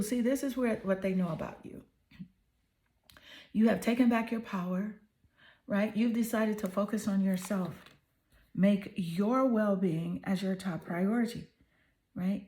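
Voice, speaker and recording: Hoarse lady doing a tarot reading, mellow soft tone, keeps saying right?. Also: mid-range voice. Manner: soothing, affirming, repeats "right?". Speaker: tarot reader.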